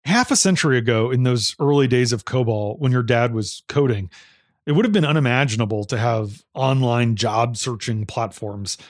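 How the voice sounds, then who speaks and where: A man's voice: mid-range voice, normal speed, no room sound, studio quality. A man hosting an interview, putting prepared questions in an articulate, inquisitive manner. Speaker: interview host.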